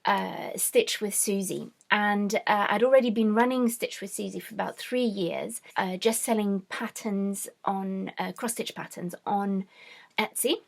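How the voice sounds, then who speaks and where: A middle-aged woman from France, born to British parents, speaking casually and a little haltingly as a craft vlog host. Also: mid-range voice, gravelly. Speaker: host, cross-stitch designer.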